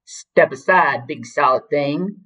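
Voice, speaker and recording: A woman's voice: deep voice, slow, fairly bright tone, slightly rough, heavily acted. A woman voicing a rude, bullying big male elephant in a storybook, with blunt, bossy, slangy taunts. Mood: menacing, bossy.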